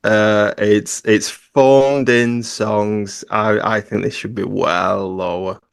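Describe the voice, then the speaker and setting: A man's voice: on the low side, fairly even intonation, slow, creaky, clear, resonant tone, no echo. A man guest on a podcast panel, speaking bluntly and emphatically. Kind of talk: casual podcast chat.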